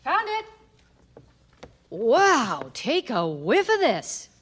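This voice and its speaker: An actress playing an observant, shrewd woman investigator in a radio mystery, brisk and knowing. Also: high voice, wildly swooping pitch, fairly bright tone, slightly rough, full character voice. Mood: curious. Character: observant, shrewd female detective's partner with keen memory. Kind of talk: radio mystery drama acting.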